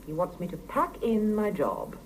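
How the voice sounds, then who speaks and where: A woman's voice: mid-range voice, sing-song, normal speed, natural voice. Actress as wry working mother, wildly swooping intonation. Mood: aggrieved, sardonic. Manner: teasing, sardonic, emphatic. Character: wry, outspoken Soviet working wife and mother. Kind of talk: 1970s radio drama acting. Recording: hissy 1970s mono cassette radio recording.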